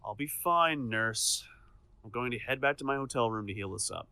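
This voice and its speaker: A man's voice: mid-range voice, moderate pace, gravelly, pushed and bright, clear, resonant tone, dry recording. An actor playing a cocky young male supervillain with a casual, theatrical manner in an audio drama. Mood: groggy, sheepish.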